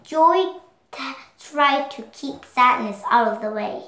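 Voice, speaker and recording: Child narrator, girl of seven, high voice, slow careful storybook reading, roomy sound. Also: animated, fairly bright tone. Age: child, 7 years old. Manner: careful, halting reading aloud. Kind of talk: read-along storybook narration.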